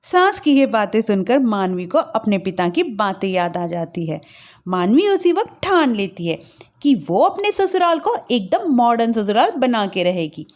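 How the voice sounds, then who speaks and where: A woman's voice: fairly high pitch, very animated, moderate pace, darkish tone. A woman storyteller giving scripted narration of a family moral tale.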